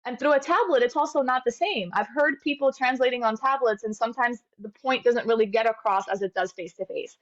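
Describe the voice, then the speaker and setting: A woman's voice: a little high, brisk, hardly any pauses. Earnest woman in her thirties, muffled audio. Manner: earnest, reflective, many fillers and restarts. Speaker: interview guest, medical graduate. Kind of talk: conversational storytelling interview. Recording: slightly dull lo-fi audio.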